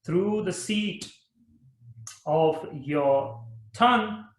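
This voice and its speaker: A man leading a guided mantra meditation, talking slowly and repeating phrases in a soothing way. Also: sits high, echoey room. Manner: slow, repetitive, soothing guidance. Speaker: meditation teacher.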